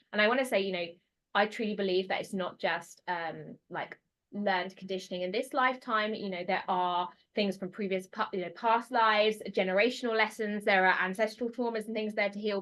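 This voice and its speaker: A woman with a British accent talking casually as a podcast guest, animated and expressive with quick bursts of speech. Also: medium pitch. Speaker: podcast guest, transformation mentor.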